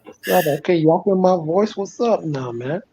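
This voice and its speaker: A man's voice: sits high, expressive, moderate pace, gravelly, warm tone, husky. A man from Florida joining a casual livestream as a guest, easygoing and teasing, repeating himself. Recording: dull lo-fi sound.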